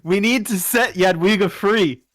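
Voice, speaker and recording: A man with a Canadian accent casting a strategy game, joking in relaxed banter. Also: high-pitched, a little slow, no echo. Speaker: game caster. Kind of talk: casual co-casting play-by-play.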